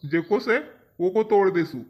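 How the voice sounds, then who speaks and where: Man voicing a high-pitched, firm village farmer, muffled. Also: normal speed. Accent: Powari, eastern Maharashtra and Balaghat region. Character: firm tight-fisted village farmer employing labourers. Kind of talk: cartoon comedy dialogue. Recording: dull, muffled lo-fi sound.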